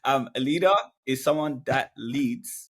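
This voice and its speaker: A man's voice: mid-range voice, measured pace. Blunt, opinionated man talking sales. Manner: blunt, opinionated, laughs between points. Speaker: podcast guest, sales leader. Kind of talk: casual business podcast chat.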